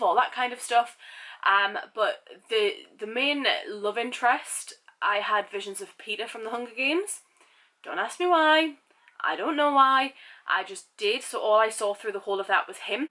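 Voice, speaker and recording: A woman's voice: mid-range voice, pushed and bright. Chatty young woman with a stuffy cold. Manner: chatty and rambling, with self-mocking asides. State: nasal from a cold. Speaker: book vlogger. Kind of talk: casual book wrap-up chat.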